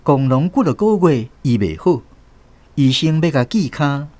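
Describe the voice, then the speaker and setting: An actor playing an earnest nephew who narrates family memories in a radio drama, with a Taiwanese accent. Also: male voice, a little high, big pitch swings, a little slow, mellow. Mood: sorrowful, reflective. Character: earnest nephew recalling his family's sorrows.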